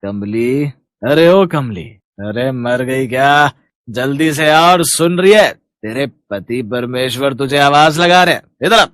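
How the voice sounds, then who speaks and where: A man's voice: mid-range voice, lively intonation, slow, a bit bright, no room sound, studio quality. A man playing a bullying alcoholic husband and father in a melodramatic devotional story, coarse and threatening as he barks demands. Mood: impatient shouting.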